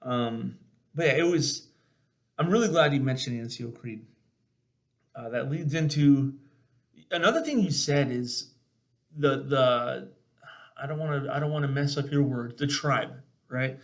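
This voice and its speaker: A man's voice: mid-range voice, measured pace, long pauses. A man talking casually and earnestly as a show host, telling personal stories in an informal, emphatic way. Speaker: podcast host and former army sergeant. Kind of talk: casual conversational storytelling.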